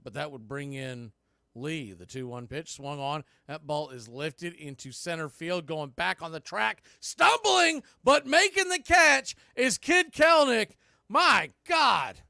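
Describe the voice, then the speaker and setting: A man calling a baseball game play by play, rising to excited calls on big plays. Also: fairly high pitch, takes it slowly, creaky, dry recording, clean studio sound. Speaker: play-by-play announcer.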